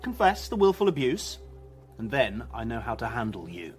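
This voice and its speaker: An actor playing a proud, sharp-witted young prince in a Shakespearean radio drama, taunting and declamatory. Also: male voice, medium pitch, big pitch swings, moderate pace, lightly acted. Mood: mocking. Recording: music behind the voice.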